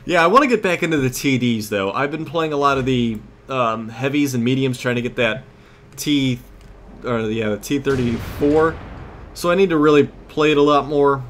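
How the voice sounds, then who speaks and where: A man's voice: mid-range voice, moderate pace, a bit bright. Rambling man over noisy game audio. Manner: rambling, loses his train of thought. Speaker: host of a gaming video series. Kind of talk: casual gameplay commentary.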